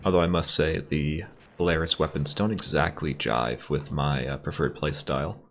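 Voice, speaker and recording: A man hosting a let's play, chatting casually and reading the game's story text aloud, his voice a little raspy from congestion. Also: a bass voice, normal speed, slight background noise. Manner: relaxed, thinking aloud while shopping for upgrades.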